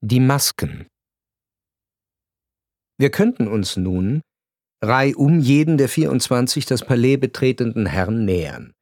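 A man narrating a literary radio drama in a measured, ironic storytelling manner with deliberate pauses. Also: mid-range voice, expressive, darkish tone, no echo, clean studio sound.

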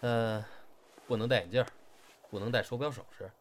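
An actor playing a steady, fond young stage performer, a matter-of-fact older brother type with a northern Chinese accent. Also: male voice, mid-range voice, a little fast, a little hiss or hum, natural voice. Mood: calm, fond. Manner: matter-of-fact, gently scolding. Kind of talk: audio drama acting.

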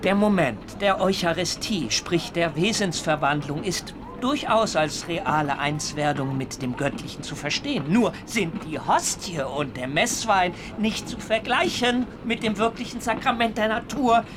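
An actor playing a fervent young German pilgrim in a radio play, preaching solemnly and rapturously in lofty phrases. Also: male voice, high-pitched, unhurried, few pauses, background noise.

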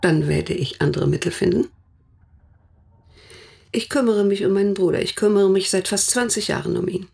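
An actress playing a stern, protective older sister in a German radio drama, speaking bluntly and formally, as if interrogating, with a husky, gravelly voice. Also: female voice, mid-range voice, moderate pace, long pauses, darkish tone. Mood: stern, insistent.

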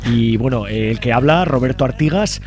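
A man's voice: mid-range voice, normal speed, a little mellow, faint music behind. A man with an accent from Spain hosting a casual radio chat and introducing his guests. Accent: Spanish. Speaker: radio show host. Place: radio studio.

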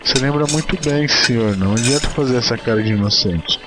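A man voicing a small, poor orphan boy who talks to his dog in a soft, earnest, scolding way. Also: mid-range voice, fast, music in the background, lightly acted. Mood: mild scolding.

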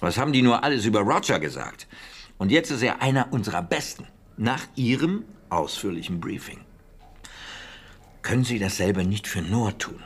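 Lively, husky low-voiced intelligence officer. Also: male voice, normal speed. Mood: animated, confiding. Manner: conversational, emphatic, with hesitations and scoffing. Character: talkative British wartime intelligence officer, defensive of his recruit. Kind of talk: German radio drama dialogue.

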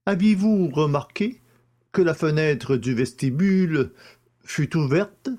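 A man's voice: a little high, darkish tone. A man voicing a courteous, methodical examining magistrate who formally questions witnesses in an audiobook drama. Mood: methodical, probing. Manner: formal, deliberate, announces each question. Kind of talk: audiobook character acting.

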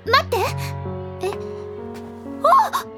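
A cheerful, bookish high-school senior full of eager curiosity. Her voice sits very high and leaps around brightly, with music underneath. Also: huge pitch swings, drawn-out words, full character voice.

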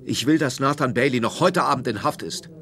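An actor playing a demanding, impatient police superior in a German radio drama, commanding and dryly sardonic. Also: male voice, mid-range voice, a little fast, fairly bright tone, close to an everyday voice. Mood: impatient, forceful.

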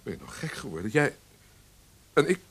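An actor playing a lovesick young portrait painter in a period radio drama, impassioned and pleading, on a hissy old recording. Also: male voice, medium pitch, very animated, normal speed, vocal fry, a bit bright, slightly rough, heavily acted. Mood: hurt, pleading.

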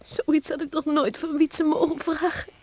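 A woman's voice: high voice, level tone, a little slow. An actress playing a tender, anxious village woman in a Dutch radio play, earnest and emotional. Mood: hurt, pleading. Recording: noisy old radio play recording.

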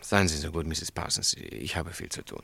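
An actor playing a stubborn, upright snack-bar owner in a German radio drama, speaking in a firm, curt, defensive way. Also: male voice, rumbling low, animated, moderate pace, gravelly, sharp tone, no room sound, full character voice. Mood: uneasy, curt.

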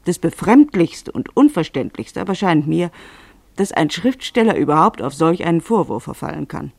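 Highish guy narrator, creaky, fussy n puzzled literary commentary, very dry recording. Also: sing-song, moderate pace, a little mellow, no room sound. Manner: fussy, bemused, pedantic.

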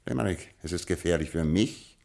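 Very low voice, posh lord turned gangster, dry ironic drawl, old radio play. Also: male voice, a little fast, creaky, a little mellow. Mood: menacing irony. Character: cool aristocratic British lord turned gangster boss. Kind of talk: 1950s radio crime play acting.

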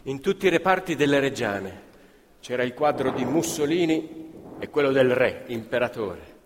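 A man's voice: mid-range voice, expressive, moderate pace, background noise. A man narrating history theatrically, in emphatic, declamatory short phrases. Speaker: stage actor and storyteller. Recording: reverberant hall.

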